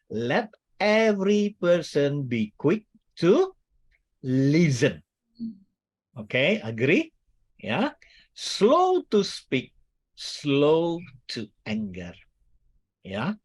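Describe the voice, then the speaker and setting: A man's voice: fairly high pitch, wildly swooping pitch, drawn-out words, pauses often. A man preaching in a casual, chatty way, joking with his listeners and mixing in English phrases. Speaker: guest speaker. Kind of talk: casual teaching sermon. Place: church service or online meeting.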